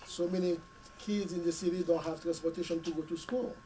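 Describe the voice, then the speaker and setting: A middle-aged man, hoarse from a cold, giving an earnest speech at a community meeting in short, paused phrases. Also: fairly high pitch, fairly even intonation, warm tone. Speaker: school committee member. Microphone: podium mic, camera at a distance. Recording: distant mic with faint room noise.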